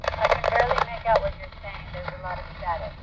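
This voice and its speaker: A woman answers in short radio-check replies, heard through a walkie-talkie. Her voice is mid-pitched and comes with very loud static and crackle. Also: fast, dull audio. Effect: two-way radio transmission. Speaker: test partner giving signal reports.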